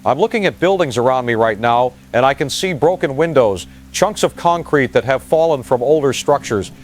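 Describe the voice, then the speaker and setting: A man's voice: mid-range voice, expressive, moderate pace, close to an everyday voice. A man playing a shaken field reporter giving a hesitant, vivid eyewitness disaster dispatch in an audio drama.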